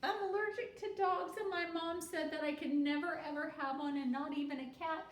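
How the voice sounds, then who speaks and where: A woman's voice: high-pitched, fairly even intonation, fairly quick, pitched above the performer's own voice, close to an everyday voice. A woman voicing a sad, earnest little schoolgirl who rambles on dramatically in breathless, run-on sentences. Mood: sad, rambling. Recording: roomy echo with faint noise.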